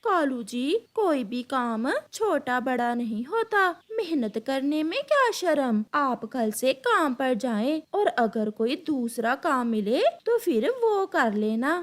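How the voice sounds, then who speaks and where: A woman's voice: high voice, very animated, slow pace, few pauses, full character voice. A woman voicing a nagging, hard-pressed crow wife in a cartoon story, complaining and insistent. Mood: coaxing. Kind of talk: Hindi animated moral-story acting.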